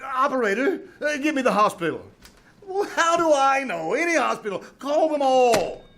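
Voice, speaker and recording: A man's voice: very high-pitched, sing-song, moderate pace, a bit bright, full character voice. A man playing an anxious, melodramatic husband in an old-time radio comedy, theatrical and exclamatory. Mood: frantic. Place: small-town theater stage, live performance. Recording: live stage recording with noise.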